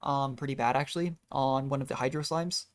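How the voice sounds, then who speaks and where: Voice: mid-range voice, fairly even intonation, fairly quick. A game streamer rambling casually through gameplay commentary, thinking aloud in a self-deprecating way. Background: video game music.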